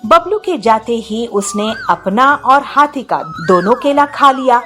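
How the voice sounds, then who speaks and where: Animated woman narrating a children's story. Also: on the high side, measured pace, soft background music.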